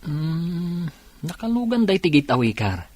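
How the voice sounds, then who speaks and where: A man playing a brisk, matter-of-fact police officer in a radio drama. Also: fairly high pitch, sing-song, darkish tone, no room sound. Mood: urgent. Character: steady male police officer. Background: drama music.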